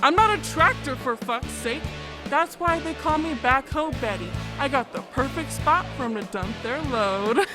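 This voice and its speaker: Bawdy party girl, played by a woman, highish voice, unhurried giggly teasing, comic audiobook, music behind. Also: expressive. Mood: teasing, boastful.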